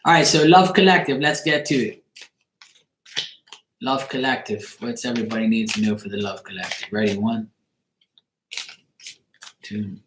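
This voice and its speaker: A man's voice: on the low side, normal speed, long pauses. A man, chatting casually on a livestream in a blunt, joking, informal way. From Bermuda. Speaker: livestream tarot reader. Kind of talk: casual livestream chat with viewers. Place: living room, indoors. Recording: echoey room with faint noise, clicks.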